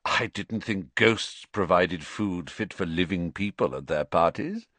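A man voicing a coldly sly middle-aged wizard professor in an audiobook, sneering and measured. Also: low voice, a bit bright, no room sound. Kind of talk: audiobook character voice.

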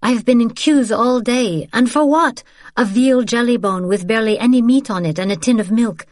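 A woman's voice: fairly high pitch, normal speed, dry recording, clean studio sound, voice pushed up, close to an everyday voice. A woman voicing a blunt, defiant young sister. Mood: weary. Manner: sharp, exasperated, firm.

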